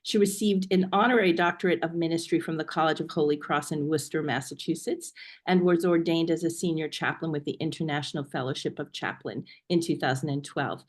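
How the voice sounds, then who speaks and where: Low-voiced woman hosting a webinar. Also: steady tone, fairly quick. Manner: hesitant, many ums while reading a bio. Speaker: webinar host. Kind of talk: introducing a guest on a webinar. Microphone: video call.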